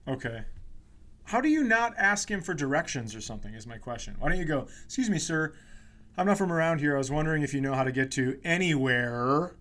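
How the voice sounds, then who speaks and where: A man's voice: fairly high pitch, expressive, brisk, fairly bright tone. A man co-hosting a comedy podcast in casual banter, with dry wisecracks and some swearing.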